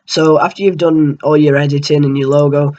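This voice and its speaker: A young man with a cold and a tickly throat, talking through a software tutorial at his computer in a rambling, hesitant way with lots of ums. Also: fairly high pitch, little pitch movement, a little fast, muffled recording. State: has a cold, keeps clearing his throat. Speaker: gaming channel host. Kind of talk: casual screen-recorded software tutorial.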